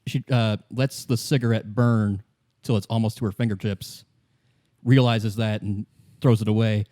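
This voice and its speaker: A man narrating an improvised tabletop game as game master, in a halting, conversational way. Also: on the low side, steady tone, warm tone, no echo, clean studio sound.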